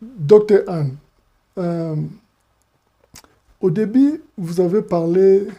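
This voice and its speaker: Brief: woman TV interviewer, very dark husky voice, frames long questions. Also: mid-range voice, extremely slow, muffled recording. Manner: asks long framed questions with short backchannels.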